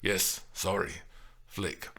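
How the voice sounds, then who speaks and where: A male reader acts an earnest, placating man in a storybook drama. He is unhurried and mildly wry, with some gravel in a crisp, bright voice. He sounds reasonable and a little pedantic. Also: low voice, steady tone, voice pushed down, full character voice. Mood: apologetic. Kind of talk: dramatised audiobook dialogue.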